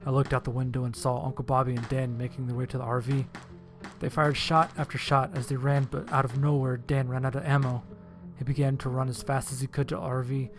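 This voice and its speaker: Man narrating a horror story over music. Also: medium pitch. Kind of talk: dramatic scripted narration in first person. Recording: music under the voice.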